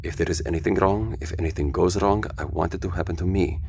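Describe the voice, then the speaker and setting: An actor playing an anxious, protective civilian in an audio drama, speaking in an earnest, formal, questioning way. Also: male voice, low-pitched, fast talker, gravelly, darkish tone, slight background noise. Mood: grave, resolved. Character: anxious, protective, courteous male civilian on a mission.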